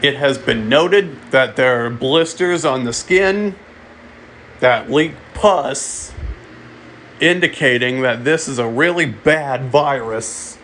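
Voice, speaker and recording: A man delivering a mock emergency alert in a halting way, often repeating and restarting his phrases. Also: medium pitch, a bit bright, slight background noise. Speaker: amateur narrator of a fictional emergency broadcast. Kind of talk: mock emergency alert announcement, semi-improvised.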